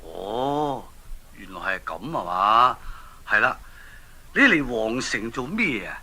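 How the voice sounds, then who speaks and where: A husky, animated storybook king. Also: mid-range voice, slow pace, full character voice. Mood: commanding, inquisitive. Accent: Hong Kong Cantonese. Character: impatient, self-important king of a fairy-tale kingdom. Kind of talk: 1980s Cantonese children's radio drama acting.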